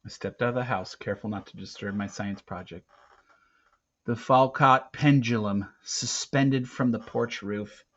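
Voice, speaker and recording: A man with a lowish voice reads a first-person novel aloud. His delivery is that of an expressive storyteller. The recording is a bit muffled and lacking in top end. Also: unhurried. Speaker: teacher reading a book aloud. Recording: slightly muffled, dull recording.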